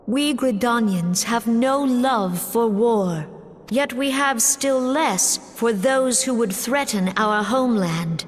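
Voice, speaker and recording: Slow, stately noble leader. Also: female voice, on the high side. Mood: resolute. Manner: formal, stately oratory. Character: solemn, noble female leader of a forest nation. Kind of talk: fantasy video game acting. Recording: long reverb, music behind.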